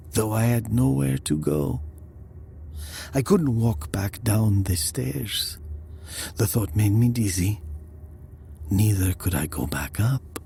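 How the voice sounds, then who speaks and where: A man playing a haunted storyteller, narrating his own dark tale in the first person with measured, breathy emphasis. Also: lowish voice, a little hiss or hum, full character voice. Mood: troubled. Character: haunted male storyteller recalling a meeting in hell.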